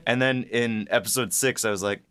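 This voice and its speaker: A woman co-hosting a podcast, chatting casually in an excitable, giggly, halting way. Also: rumbling low, clean tone. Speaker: podcast co-host and writer.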